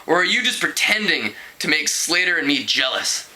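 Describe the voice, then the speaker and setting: A man plays a teenage high school girl from a storybook. She is nervous and wistful, pleading in a hesitant way, with a fairly high and very bright voice. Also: sing-song, moderate pace, slight background noise, exaggerated voice, low-quality recording.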